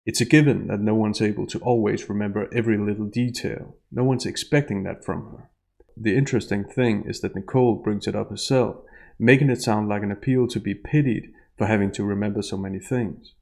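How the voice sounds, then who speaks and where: A man delivering scripted analytical commentary in a measured, precise, explanatory way. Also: on the low side, steady tone, vocal fry, a little mellow. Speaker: analyst and narrator of a statement analysis video.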